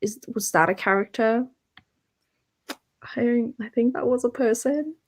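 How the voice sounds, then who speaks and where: A woman's voice: a little high, unhurried. A woman co-hosting a book readalong live show in casual chat, informal and tentative as she thinks aloud.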